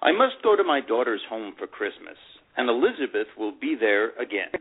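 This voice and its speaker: A man's voice: medium pitch, sing-song, moderate pace, slightly rough. A man playing a proud, lovelorn older divorced man, reading his memoir in an earnest storytelling manner. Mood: guarded longing. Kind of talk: dramatic first-person memoir reading. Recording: phone-like narrow radio sound.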